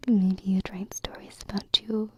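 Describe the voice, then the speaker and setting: A woman voicing a gentle, wistful alien princess in a breathy whispered ASMR roleplay, close to a binaural mic. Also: medium pitch. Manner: slow, tender, reflective, with pauses. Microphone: close binaural microphone. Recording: close-up whisper with faint background noise.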